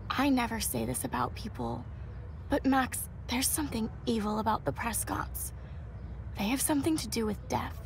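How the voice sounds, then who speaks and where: A woman acting a gentle, devout young student in a video game, fragile but hopeful, earnest and sincere. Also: fairly high pitch, expressive, normal speed, gravelly, a little hiss or hum, poor audio. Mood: determined. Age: young adult. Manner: soft-hearted, earnest, sincere.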